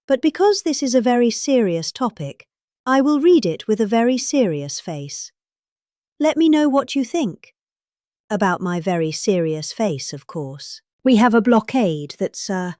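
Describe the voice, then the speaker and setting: A woman's voice: a little high, warm tone, no room sound, studio quality. A middle-aged British woman giving a dramatic, satirical reading of a speech in a mock-serious, theatrically emphatic manner. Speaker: presenter reading out a politician's speech.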